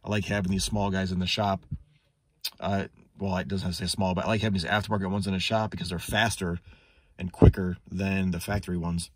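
A man with a low-pitched voice walks through a tool demonstration off the cuff. He sounds like a working technician, chatting conversationally and thinking aloud as he goes. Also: level tone, a little fast, dry recording. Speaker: automotive technician reviewing a scan tool. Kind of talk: casual unscripted product demonstration. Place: inside a parked car in a shop.

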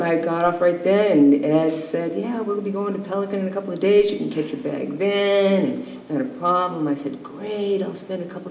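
Middle-aged woman, folksy storyteller, creaky voice, roomy stage sound. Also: lowish voice, mellow. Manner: folksy, acts out quoted dialogue. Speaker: fisherwoman and panelist. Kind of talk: animated anecdote telling to an audience. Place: auditorium stage, recorded from audience distance. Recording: echoey, distant, noisy hall recording.

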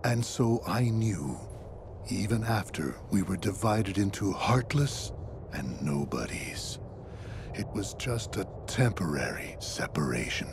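A grave, composed video game villain holds forth in a deep male voice. He is formal, coldly philosophical and very unhurried. Clear ambient noise sits behind his words. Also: very animated, full character voice. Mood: cold, lecturing. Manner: formal, measured, solemn.

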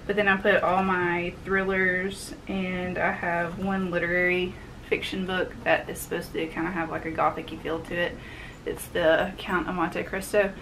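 A woman's voice: fairly low pitch, noisy background. A young woman with a Southern American accent talking casually as she tidies and shows off items in her small home office, thinking aloud as she works. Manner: chatty, upbeat, thinks aloud while tidying. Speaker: vlogger. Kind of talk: casual cleaning and haul vlog.

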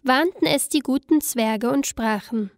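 A woman narrates a fairy tale in a high voice at a slow, careful pace. Her phrasing is expressive and scripted, closely recorded with little room sound. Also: no echo, studio quality.

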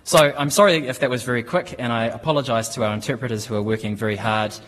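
A man in his thirties with a New Zealand accent, speaking at a lectern microphone in a conference hall. His pitch sits in an ordinary middle range, and he talks in a measured, businesslike way, pausing often with ums. The long reverb of the hall trails behind his words. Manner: measured, steady, with frequent ums and restarts. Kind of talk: business presentation.

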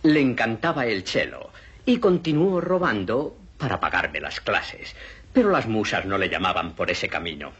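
An actor playing an exasperated, disdainful cello teacher in a film mock interview. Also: male voice, lowish voice, animated, moderate pace, a bit bright, lo-fi sound, slight background noise. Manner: exasperated, emphatic.

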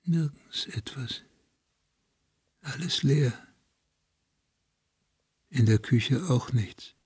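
An actor playing a paranoid escaped madman in a radio play, muttering and brooding in a husky, gravelly voice. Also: medium pitch, drawn-out words, darkish tone, no echo, full character voice.

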